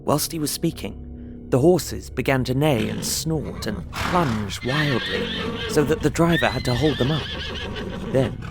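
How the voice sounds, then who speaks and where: Young guy narrating his gothic diary, bit of vocal fry, measured n uneasy, music underneath. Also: mid-range voice. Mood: tense. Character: young English traveller, earnest, uneasy diarist. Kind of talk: dramatised first-person diary narration.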